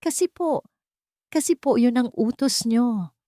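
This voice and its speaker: A woman voicing a frightened young housemaid in an audio drama, halting, deferential and pleading. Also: on the high side, warm tone, dry recording, studio quality, voice pushed up, natural voice. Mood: tearful, pleading.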